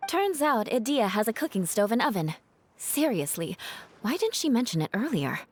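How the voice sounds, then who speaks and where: A woman's voice: fairly high pitch, big pitch swings, moderate pace. A woman voicing a cool, self-assured young female fighter in a video game. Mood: wry, casual. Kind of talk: video game character acting.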